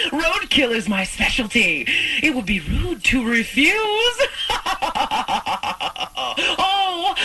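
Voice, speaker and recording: A woman's voice: medium pitch, pushed and bright, exaggerated voice. A woman voicing a sinister female ghost hostess with a mock-gracious, cackling manner. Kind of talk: haunted attraction spiel. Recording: distant with faint noise.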